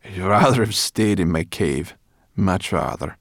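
A man's voice: low voice, unhurried, dry recording, clean studio sound. A man voicing a cross, grumbling female brownie creature in a storybook reading. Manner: grumbling, scolding.

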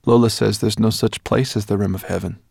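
A man's voice: lowish voice, steady tone, brisk, gravelly, darkish tone, slightly rough, no room sound, clean studio sound. A man reading an audiobook, voicing an earnest young human boy.

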